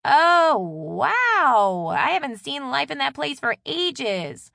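An exasperated, bossy younger sister as played by a woman narrator in an audiobook. Her pitch sits high and leaps around as she complains loudly and emphatically. The sound is close and dry. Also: very animated, takes it slowly, vocal fry, no room sound, full character voice. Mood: surprised, gossipy. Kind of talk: audiobook character dialogue.

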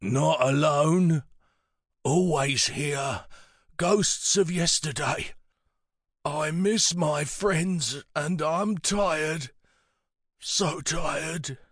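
A man voicing a frail, tired elderly war veteran who speaks in halting phrases with a croaky, wheezy voice. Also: fairly high pitch, fairly bright tone, no echo, studio quality, pitched above the performer's own voice, exaggerated voice. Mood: weary, resigned. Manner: halting, short slow phrases.

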